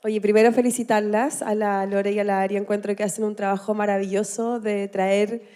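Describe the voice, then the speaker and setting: A Chilean woman asks from the audience in a conversational way. Her voice is clean and relaxed, of medium pitch, in a dry sound. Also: a little fast, clean tone, dry recording. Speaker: audience member asking a question. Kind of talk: casual, conversational.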